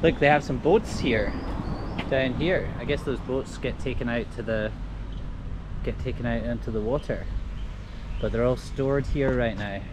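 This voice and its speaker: A man's voice: mid-range voice, unhurried, long pauses. A man vlogging as he walks outdoors, chatty and upbeat, greeting people as he goes. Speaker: travel vlogger. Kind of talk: casual walking vlog narration. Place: outdoors on a busy street. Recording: street traffic noise behind.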